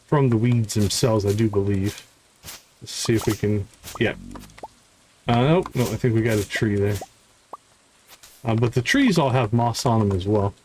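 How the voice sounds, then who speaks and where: A man's voice: medium pitch, a little mellow, slight background noise, rough recording. A man doing casual live commentary as he plays a game, chatting in a friendly way with viewers. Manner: friendly, greets viewers by name. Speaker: livestream host playing a farming game.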